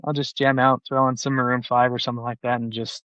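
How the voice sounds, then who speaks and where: A man in his forties from Utah talks casually as a guest, with a bright, forceful voice that rambles through plenty of "like"s. The audio is dry, with no room sound, and slightly muffled and lo-fi. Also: medium pitch, level tone, quick pace, no echo. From Utah, USA. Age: 40s. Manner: rambling, filler-heavy, many "like"s. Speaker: banker, guest. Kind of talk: casual interview chat.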